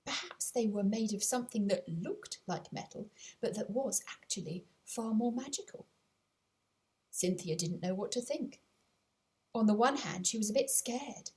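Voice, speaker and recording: A woman narrates a scripted story for children. Her delivery is expressive, with a very animated pitch that rises and falls. She places deliberate dramatic pauses between phrases. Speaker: author reading their own audiobook.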